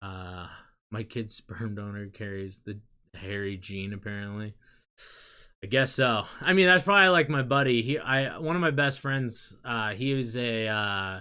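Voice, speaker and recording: A man's voice: fairly low pitch, clean tone. Rambling young man, casual chat. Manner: loose, chatty, trailing off into quiet asides. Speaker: streamer chatting with viewers.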